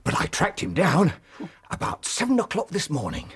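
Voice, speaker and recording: A man playing an earnest, middle-aged British police inspector in a radio drama, giving grave, halting reports in a husky voice. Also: fairly high pitch, wildly swooping pitch, fairly bright tone, full character voice. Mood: sombre recounting. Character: earnest, shaken veteran male police inspector.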